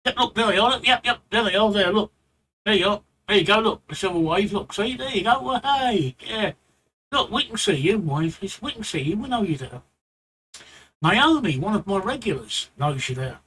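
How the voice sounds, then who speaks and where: A man's voice: high-pitched, animated, normal speed, a bit bright, a little hiss or hum. A man with a London accent giving blunt streaming advice live, repeating himself and rambling into asides. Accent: London English. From England.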